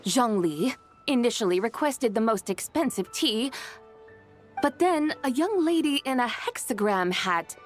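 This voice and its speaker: An imperious immortal adeptus from a fantasy game, high-voiced and eccentric. Her haughty, old-fashioned formal speech rises and falls dramatically, with faint music behind. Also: lively intonation, a little slow. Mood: recalling. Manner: haughty, archaic formal phrasing, theatrical. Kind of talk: English video game voice acting.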